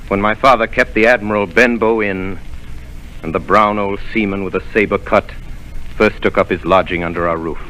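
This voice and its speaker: A man voicing a grown man who recalls his boyhood adventure, in measured 1930s radio drama narration. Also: fairly low pitch, pushed and bright, slight background noise, rough recording. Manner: measured, storytelling delivery. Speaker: narrator of the tale. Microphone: radio studio microphone.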